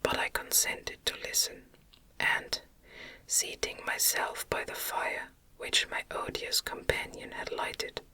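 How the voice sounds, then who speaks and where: A soft, unvoiced whisper reads a classic audiobook for ASMR. The delivery is very slow, measured and soothing, with crisp, bright breathiness. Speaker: audiobook reader.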